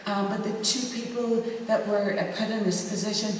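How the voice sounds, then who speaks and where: A woman speaking as an actor on a panel, thoughtful and halting as she searches for words in an informal talk to an audience. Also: mid-range voice, fairly even intonation. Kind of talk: informal talk to an audience about a theatre project. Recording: echoey hall, distant mic, faint noise.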